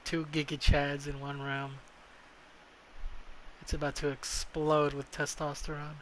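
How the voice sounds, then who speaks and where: A man's voice: fairly high pitch, completely flat delivery, a little slow, fairly bright tone, slight background noise, low-quality recording. A man giving casual, sarcastic and profane commentary as he streams a video game.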